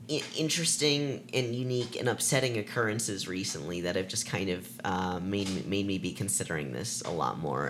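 A man's voice: lowish voice, a bit bright, slight background noise. A young adult podcast co-host chatting casually, rambling and searching for words as he talks. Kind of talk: casual chat.

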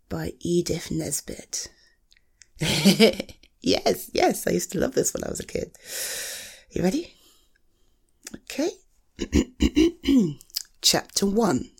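A woman speaks in a lowish, husky voice with plenty of creak. She takes her time, soft and affectionate, in the intimate, coaxing style of a personal bedtime reading. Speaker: audio host reading a bedtime story to the listener.

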